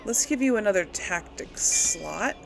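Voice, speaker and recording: A woman giving casual game commentary as she plays, musing and thinking out loud to her audience. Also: mid-range voice, animated, a little slow, vocal fry, noisy background. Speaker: gamer, host of a role-play let's play.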